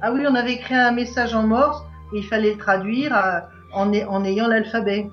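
A woman talks casually in an interview, pausing and restarting as she looks for words. The recording is echoey and dull, with faint music underneath. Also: on the high side, steady tone. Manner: halting, searches for words. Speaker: interviewee, club event organiser.

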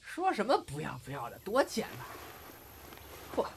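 一位男演员在广播剧里饰演调皮爱闹的相声搭档兼恋人，年纪较轻，说话俏皮，爱斗嘴。. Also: male voice, high voice, moderate pace, creaky, a little hiss or hum, heavily acted. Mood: mischievous. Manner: cheeky, expressive, bantering. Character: cheeky, playful younger male comedy partner and lover. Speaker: voice actor. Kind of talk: intimate audio drama dialogue.

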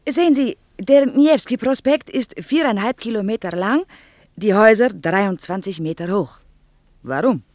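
An actress plays an earnest Soviet tour guide, a woman in her thirties. She speaks with a Russian accent, drops her articles and explains things in a didactic, slightly shy way. The radio drama sound is dry, and her pitch sits in the middle. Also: lively intonation, normal speed, darkish tone, no room sound, natural voice. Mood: earnest lecturing. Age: 30s.

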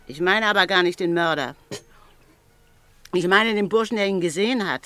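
An actress playing a gossipy, knowing newspaper seller in a German radio drama, chatty and insinuating. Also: female voice, fairly low pitch, normal speed, bright, forceful tone, no room sound, soft background music. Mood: knowing unease. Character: gossipy female newspaper seller, uneasy busybody.

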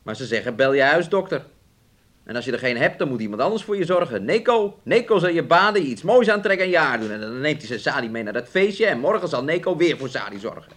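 A man's voice: mid-range voice, big pitch swings, fast, a bit bright. An actor playing a domineering, self-absorbed man in a radio play, nagging and coaxing his sick lover and calling himself by name. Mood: condescending, coaxing. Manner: talks of himself in the third person, nagging and coaxing. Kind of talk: Dutch radio play acting.

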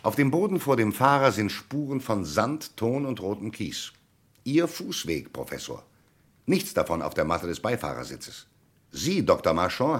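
A man's voice: lowish voice, breathy edge. An actor playing a shrewd, insistent police commissioner in a radio crime drama, methodical and declamatory as he interrogates.

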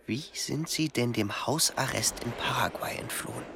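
An actor in a German radio drama playing a curious, direct gentleman traveller with a questioning, pointed manner. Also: medium pitch, animated, moderate pace. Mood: confiding, inquisitive. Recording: clear outdoor ambience noise.

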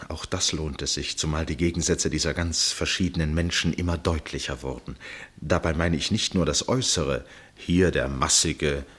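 An actor playing an absorbed first-person narrator in a literary radio play, measured and suspenseful, with deliberate pauses and a husky voice. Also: male voice, a bass voice, steady tone, a bit bright, exaggerated voice. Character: absorbed male first-person narrator watching a tense match.

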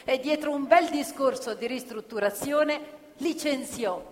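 An actress playing a proud, impassioned storyteller of women factory workers, emphatic and theatrical in a radio theatre piece. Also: female voice, high-pitched, a little slow, a little hiss or hum. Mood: recounting. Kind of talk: stage radio theatre narration in Italian. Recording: reverberant hall, loud live music behind. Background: loud live musical instruments.

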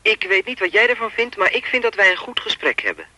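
A man's voice: high voice, sing-song, fast talker, bright, forceful tone, no echo, heavily acted. An actor playing a chatty, lewd and menacing anonymous male caller in a radio play, insinuating and mock-polite as he rambles. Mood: smug, pressing.

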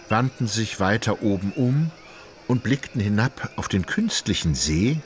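A man narrating a literary radio play in a measured, deliberate storytelling manner. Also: on the low side.

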